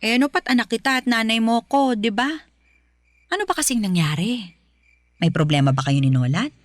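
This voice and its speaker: A woman's voice: fairly high pitch, animated, fairly quick, no echo, clean studio sound, close to an everyday voice. An actress playing a caring, perceptive middle-aged mother in a radio drama, coaxing and firmly reassuring. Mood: concerned. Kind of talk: Filipino radio drama acting.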